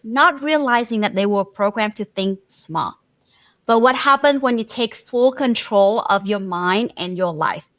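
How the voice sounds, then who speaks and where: A woman's voice: on the high side, a bit bright, dry recording. A woman hosting a podcast interview, upbeat and gushing with praise for her guest. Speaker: podcast host.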